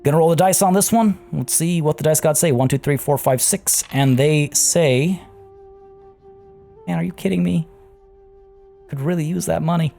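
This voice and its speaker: A man casually talking through a strategy game as a let's play host. He is slightly hoarse from losing his voice and thinks aloud with fillers. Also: on the high side, normal speed, pauses often, warm tone. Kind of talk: casual gameplay commentary. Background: faint game music.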